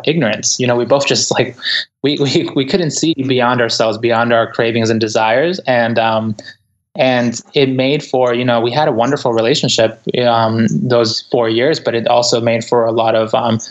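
A man from Ecuador whose first language is Spanish, speaking as a guest author in a thoughtful, earnest interview with many soft fillers. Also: medium pitch, highs cut off. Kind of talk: reflective interview conversation. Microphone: remote interview over the internet.

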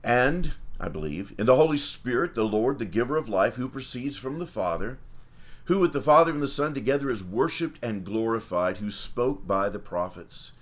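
A man's voice: mid-range voice, expressive, moderate pace. A man, a priest leading livestreamed morning prayer and chatting in a folksy way with viewers.

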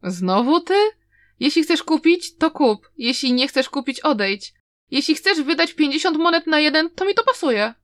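A brash male street charlatan, put on by a woman who rattles off his sales pitch. He comes out raised and loud against a close, dry sound. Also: animated, normal speed, no room sound. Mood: dismissive. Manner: brash hawker's patter. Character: pushy male street charlatan hawking charms.

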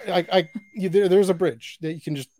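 A man's voice: sits high, a little fast, warm tone. A man from Canada co-hosting a podcast, talking enthusiastically and restarting his sentences often. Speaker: podcast co-host. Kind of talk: casual podcast chat.

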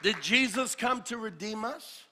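A male pastor preaching a sermon, building to shouted peaks and dropping into joking asides. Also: sits high, expressive, unhurried, a crowd in the background, noisy background. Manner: builds to shouted climaxes, then drops to a jokey aside. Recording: long hall reverb.

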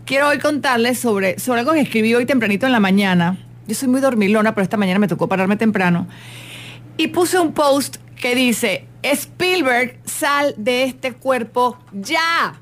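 A woman hosting a radio talk show, chatting in a casual, expressive way with quick asides. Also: fairly high pitch.

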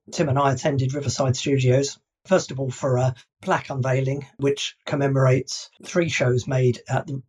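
A man's voice: mid-range voice, a little mellow, breathy edge. Hesitant English man co-hosting a podcast. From England. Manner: hesitant, many restarts and fillers. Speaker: podcast co-host. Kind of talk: casual chat.

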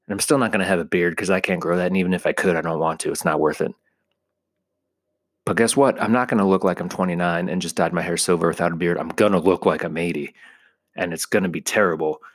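American guy in his 30s, deep voice, just rambling casually, kinda self-deprecating and jokey. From United States. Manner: joking, self-deprecating exaggeration. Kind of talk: casual rambling solo monologue.

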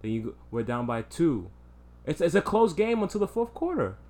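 A young man co-hosting a podcast in casual chat, low-key but firm when he defends his team. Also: big pitch swings, normal speed, darkish tone. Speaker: podcast co-host.

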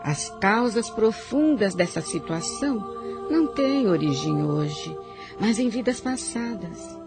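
A woman's voice: medium pitch, animated, slow, creaky. A woman giving solemn, preachy scripted narration for a radio drama. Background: music.

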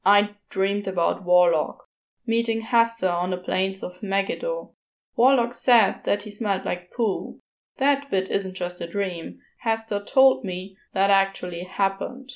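A sardonic, restless male demon from a storybook, acted out by a woman reader. The voice drops low and speaks in terse, blunt grumbles, moody and impatient. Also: measured pace, lightly acted. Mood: grim, uneasy.

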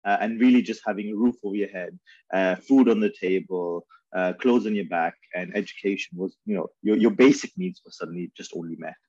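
A man from Pakistan, in his thirties, talking through his memories as an interview guest, thoughtful and halting with many fillers and restarts. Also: sits low, darkish tone, no echo, lo-fi sound. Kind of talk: reflective conversational storytelling.

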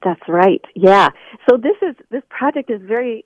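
A woman's voice: on the high side, lively intonation, normal speed, dry recording. A woman interview guest, a scholar, explaining things conversationally with examples over a telephone line. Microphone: phone.

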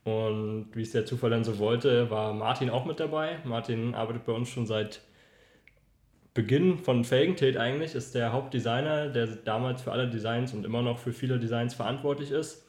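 A man from Berlin talks as a guest in a casual conversation. His pitch is average and his storytelling is informal. The recording has a clear room echo. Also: steady tone, moderate pace, a little mellow. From Berlin, Germany. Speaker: podcast guest, industrial designer. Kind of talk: casual chat, personal storytelling. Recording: roomy, echoing room.

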